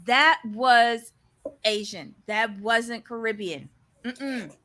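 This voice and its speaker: A woman's voice: fairly high pitch, extremely slow, pushed and bright. A woman with a Barbadian accent, co-hosting a casual recap show and giving blunt, opinionated takes. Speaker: podcast co-host.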